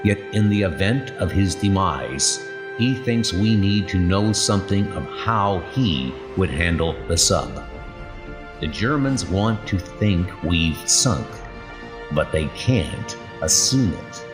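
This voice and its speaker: A man's voice: low voice, animated, takes it slowly. A man voicing a young British midshipman narrating his wartime diary in a subdued, introspective way. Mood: reflective. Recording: voice over loud background music.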